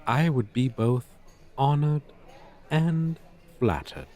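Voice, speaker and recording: Man playing a slow, pompous ancient dragon teacher. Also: medium pitch, expressive, darkish tone. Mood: humbled, formal. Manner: pompous, archaic, stilted formal diction. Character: proud, formal, centuries-old male dragon teacher. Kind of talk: ASMR roleplay monologue.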